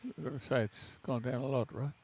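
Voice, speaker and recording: Co-commentator: gravelly-voiced man who talks in halting, restarting phrases. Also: medium pitch, steady tone, darkish tone. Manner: halting, with many restarts and uh fillers. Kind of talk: relaxed commentary chat.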